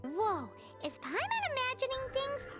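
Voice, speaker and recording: A woman voicing a tiny, childlike fairy companion in an anime-style video game, chirpy, excitable and nosy. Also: unhurried, heavily acted. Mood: nervous. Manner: squeaky, chirpy, speaks of herself in third person. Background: game music.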